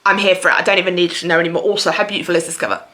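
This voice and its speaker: A woman book vlogger chatting casually to viewers in an effusive, expressive way. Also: lowish voice, very fast. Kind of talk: casual chatty video monologue about books.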